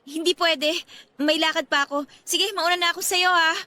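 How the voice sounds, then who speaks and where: A woman's voice: very high-pitched, fairly even intonation, normal speed, bright, forceful tone, no room sound. A woman playing a guarded, irritable young woman in a Tagalog radio romance drama, speaking with emotional emphasis. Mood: curt, defensive.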